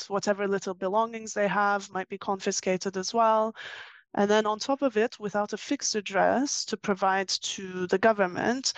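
A woman from Turkey speaks as an interview guest, explaining things in a conversational way. Her pitch is average and the recording is dry, with hardly any room sound. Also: dry recording. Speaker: interview guest, author and financial journalist.

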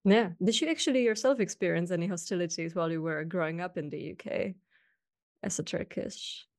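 A woman from Eastern Europe hosts a podcast interview in a slightly low voice. She talks casually and informally, rambling a little as she goes. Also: brisk, gravelly. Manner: informal, rambling phrasing with frequent "like".

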